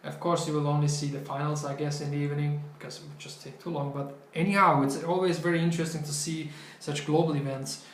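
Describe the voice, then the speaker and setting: A young man whose first language is not English, co-hosting a casual podcast chat in a loose, halting way with many restarts. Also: a little high, little pitch movement, roomy sound. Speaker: co-host. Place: small bright office room.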